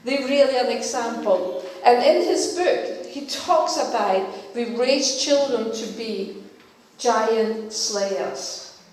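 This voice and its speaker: Older female preacher from Denmark, Danish native; deliberate, repeats lines for emphasis; distant, echoey church sound. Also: a little high, darkish tone. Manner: slow, emphatic, repeats key phrases. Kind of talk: sermon. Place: church hall. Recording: echoey hall, from far off.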